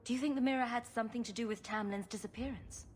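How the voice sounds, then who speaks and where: A woman's voice: a little high, fast talker, a little hiss or hum, close to an everyday voice. A woman acting a dry, probing adult heroine in a video game, direct and questioning. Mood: wry. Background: music.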